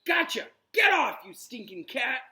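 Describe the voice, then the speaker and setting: A man's voice: very high voice, sing-song, unhurried, bright, forceful tone, voice pushed up, heavily acted. A man voicing a frantic, snappish teenage boy in a read-aloud story, urgent and exclaiming. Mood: furious shouting.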